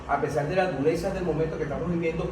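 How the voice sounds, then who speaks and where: A man's voice: on the high side, steady tone, rapid-fire. A Venezuelan man speaking as a political analyst in an informal panel discussion, earnest and insistent, repeating phrases for emphasis. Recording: echoey room, distant mic, clear noise.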